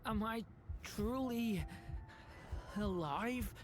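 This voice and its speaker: A woman voicing a lost, confused newborn dragon who talks haltingly. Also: fairly high pitch, background noise. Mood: weak, lost. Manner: halting, fragile and pleading. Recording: game soundtrack behind.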